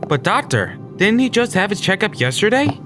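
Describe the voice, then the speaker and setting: An actor playing an obedient, uneasy asylum orderly in an audio drama. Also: male voice, sits high, big pitch swings, moderate pace, over music. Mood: deferential.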